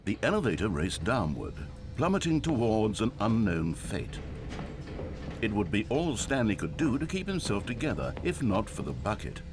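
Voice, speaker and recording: Wry storybook narrator guy for a video game, lowish voice, pitch swooping all over, music behind. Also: moderate pace. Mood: wry, dramatic. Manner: theatrical, expressive storytelling. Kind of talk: scripted narration.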